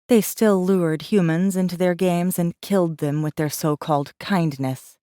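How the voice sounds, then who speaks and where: Low, mellow woman, acting a guarded rebel. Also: steady tone, a little slow, no room sound, studio quality, natural voice. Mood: brooding. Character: guarded, defiant young woman, human rebel messenger. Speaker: audiobook narrator. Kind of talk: first-person scripted narration, audiobook.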